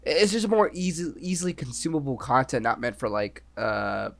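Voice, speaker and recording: A man's voice: medium pitch, moderate pace, gravelly, fairly bright tone. A young man co-hosting a podcast, talking casually and rambling, often asking "you know what I mean". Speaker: podcast co-host. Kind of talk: casual chat.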